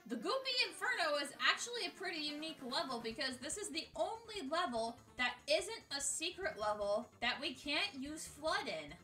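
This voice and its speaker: A woman's voice: high voice, sing-song, moderate pace, hardly any pauses, pushed and bright, recorded from a distance. A woman hosting a gaming video, giving casual scripted countdown commentary in an exasperated, sweary, jokey way. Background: music.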